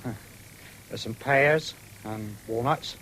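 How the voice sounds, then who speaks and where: An actor playing a young, plain-spoken farmer's son in a radio drama, with a rural English accent and a blunt, homely manner. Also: male voice, mid-range voice, takes it slowly, a bit bright. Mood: cheerful, plain. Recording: faintly noisy 1970s radio recording.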